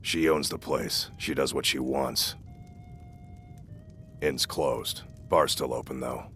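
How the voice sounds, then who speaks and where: A man playing a gruff, terse, indifferent innkeeper and cook in a video game, speaking in flat, clipped phrases. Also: extremely low pitch, slow pace, a little hiss or hum, full character voice. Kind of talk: video game character dialogue.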